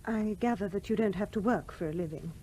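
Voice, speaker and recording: An actress playing a gentle, youngish English widow in a 1970s radio play, polite and reserved but primly spirited. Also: female voice, mid-range voice, quick pace, slight background noise, natural voice. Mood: earnest, prim.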